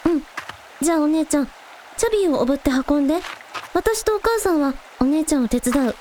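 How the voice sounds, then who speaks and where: A woman's voice: high voice, expressive, fast talker, mellow, a little hiss or hum, poor audio. A woman playing an earnest, decisive younger sister, a young girl, in a radio drama.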